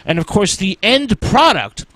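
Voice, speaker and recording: A man talks through film history on a radio show, pausing often on "uh" as he gathers his thoughts. His voice sits high for a man and swings widely in pitch. He speaks at an unhurried pace, close to a dry studio microphone. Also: sing-song, bright, forceful tone, no echo. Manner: frequent "uh" fillers, halting delivery. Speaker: radio host.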